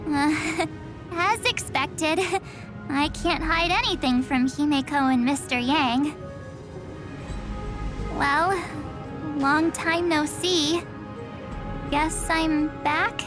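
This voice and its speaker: Girlish game heroine, theatrical n playful, high voice, takes her time, loud music behind. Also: steady tone, drawn-out words, pauses often. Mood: sheepish, cheerful. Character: playful young woman posing as solemn time chronicler. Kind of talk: video game voice acting.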